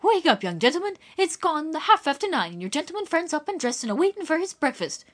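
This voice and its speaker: Woman narrator as a homely housekeeper; loud, raised, bustling. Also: high-pitched, very animated, fast talker, pitched above the performer's own voice.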